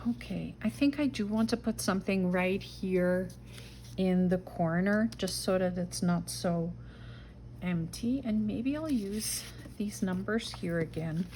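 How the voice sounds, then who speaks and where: A middle-aged woman with a Dutch accent talks through her crafting at an unhurried pace, musing aloud and slipping into soft murmurs. Her voice sits at an ordinary middle pitch. A faint hiss of room noise stays under her voice. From Netherlands. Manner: chatty, talks to herself while working, soft murmurs and whispers. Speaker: junk journal crafter. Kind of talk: casual craft-along narration.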